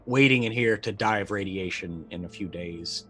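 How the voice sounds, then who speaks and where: A middle-aged man with a fairly low voice improvises as a tabletop game player. He talks casually and hesitantly, muttering as he works out his moves, with faint music underneath. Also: moderate pace, sharp tone. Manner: hesitant, muttering while thinking. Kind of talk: casual improvised roleplay talk. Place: indoor room, online video call.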